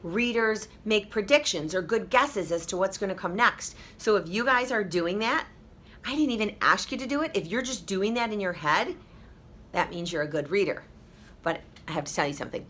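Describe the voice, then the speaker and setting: Bright, very animated woman reading to children. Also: mid-range voice, normal speed. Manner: expressive, encouraging, addresses children directly. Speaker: teacher reading aloud to pupils. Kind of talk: animated picture-book read-aloud with questions to children.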